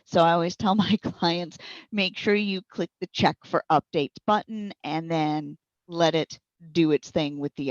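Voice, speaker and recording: Low-pitched woman explaining tech, dull sound. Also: dry recording. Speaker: interviewed speech software trainer and support provider. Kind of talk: informal explanatory interview answers. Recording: dull, lo-fi audio.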